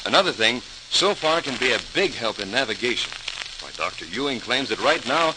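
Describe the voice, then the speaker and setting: An actor playing an eager, earnest grown son in old-time radio drama, explaining things with enthusiasm. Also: male voice, medium pitch, big pitch swings, brisk, close to an everyday voice. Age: young adult. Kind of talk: 1940s radio drama acting. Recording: noisy, crackling old radio transcription.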